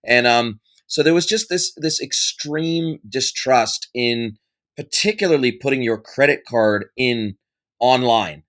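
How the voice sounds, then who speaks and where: A man in his forties with an American accent and a bright, forceful voice talks at an easy middle pitch. He tells his story loosely, with restarts and fillers, as a guest in an interview. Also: a little slow. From United States. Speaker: podcast guest, e-commerce consultant. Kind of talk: conversational interview answer.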